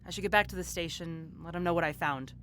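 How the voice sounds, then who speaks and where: A woman's voice: fairly low pitch, quick pace, a bit bright, close to an everyday voice. Actress as a rattled female investigator over noise. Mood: wary, musing. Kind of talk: audio drama acting. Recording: clearly noisy background ambience.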